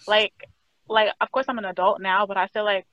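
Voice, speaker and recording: A woman's voice: medium pitch, steady tone, fairly quick, dry recording. Reflective West Indian woman, lo-fi sound. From Guyana and Jamaica heritage, West Indian. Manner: reflective, soft-spoken, hesitant restarts. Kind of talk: casual group chat. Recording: slightly dull lo-fi audio.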